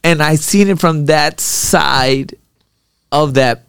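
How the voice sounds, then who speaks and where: A man hosting a podcast, telling rambling, profane stories in an animated way. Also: fairly high pitch, slow, vocal fry, clean tone, dry recording, studio quality. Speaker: podcast host and boxer. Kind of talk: casual podcast chat.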